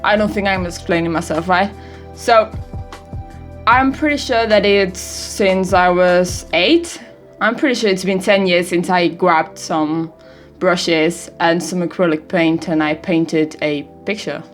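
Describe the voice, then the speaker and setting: A woman's voice: fairly low pitch, unhurried, soft background music. An eighteen-year-old woman from Europe, English not her first language, chatting casually in a rambling, giggly, self-deprecating vlog. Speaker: vlogger. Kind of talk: casual birthday vlog chat.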